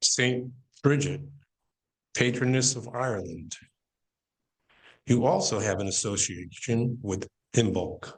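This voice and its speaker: A man's voice: medium pitch, slow pace, pauses often, poor audio. A man playing the formal narrator of an amateur stage play, reading expository lines with emphatic phrasing. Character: formal male narrator moderating guests. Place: church hall, live performance.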